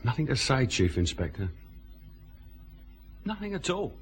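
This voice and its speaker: An actor playing a defiant crook in a radio detective drama, sardonic and insolent, with faint background noise. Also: male voice, mid-range voice, sing-song, measured pace. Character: cocky, sardonic, defiant jailed truck-driver crook.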